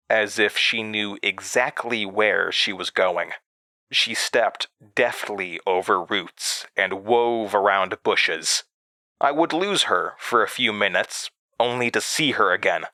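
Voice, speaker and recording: A man's voice: fairly low pitch, pushed and bright, no room sound, exaggerated voice. An actor playing a haunted, anxious man who narrates his past hesitantly in a horror audio drama.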